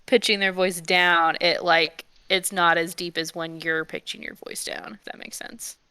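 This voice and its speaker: A woman's voice: lowish voice, level tone, normal speed, sharp tone, clean tone, no echo. A woman player explaining her thoughts carefully in a casual chat.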